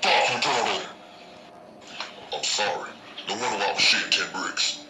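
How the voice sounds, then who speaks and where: A man's voice: on the low side, expressive, moderate pace, very noisy. A man doing crude comic banter.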